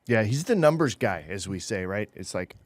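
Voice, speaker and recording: A man's voice: fairly low pitch, very animated, normal speed, dry recording. Upbeat male podcast host. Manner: upbeat, conversational, fillers like "it's like". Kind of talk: casual interview hosting. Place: podcast studio.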